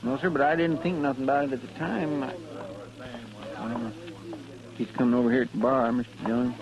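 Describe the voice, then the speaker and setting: An actor playing a folksy, naive sidekick in a vintage radio Western, plaintive and deferential, with a rural American accent. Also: male voice, medium pitch, steady tone, fairly quick, a crowd in the background, natural voice. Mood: curious, indignant. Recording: dull, hissy old radio recording.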